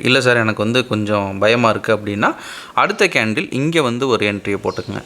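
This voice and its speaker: A man's voice: medium pitch, fairly bright tone. A man with an Indian Tamil accent, explaining step by step as a trading instructor in an informal screen-share tutorial and often checking that listeners follow. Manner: explanatory, often checking understanding with short tag questions.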